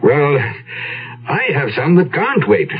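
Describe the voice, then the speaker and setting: An actor playing a stern, domineering older English lord and father in a radio drama, gruff and imperious. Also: fairly high pitch, wildly swooping pitch, slow, exaggerated voice. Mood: brisk. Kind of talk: period radio drama acting. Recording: tinny, narrow old radio recording.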